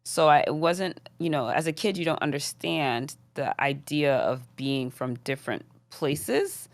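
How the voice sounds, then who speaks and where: A woman's voice: low voice, expressive, a little slow, no room sound. A young woman in her twenties from the UK, chatting as a guest in an interview, laughing often and filling with "like" and "um". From United Kingdom. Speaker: guest rapper. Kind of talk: casual interview chat.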